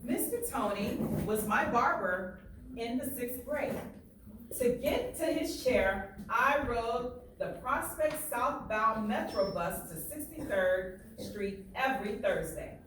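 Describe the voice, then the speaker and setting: A woman reads aloud like a teacher, explaining and checking for understanding as she goes. Her delivery is unhurried. The recording is heavily reverberant and off the microphone, with faint noise. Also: fairly high pitch, animated. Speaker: teacher reading a book aloud. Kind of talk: read-aloud with plain explanations and check-in questions. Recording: very echoey room, distant, faint noise.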